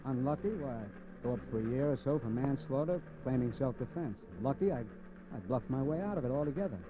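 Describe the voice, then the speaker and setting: An actor playing a cocky, scheming crook in a 1950s radio crime drama, slangy and sardonic. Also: male voice, mid-range voice, lively intonation, fairly quick, noisy background, natural voice. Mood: cynical narration. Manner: hard-boiled, slangy, sardonic. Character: cocky, cynical, scheming male crook narrating his crime. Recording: muffled old 1950s radio recording.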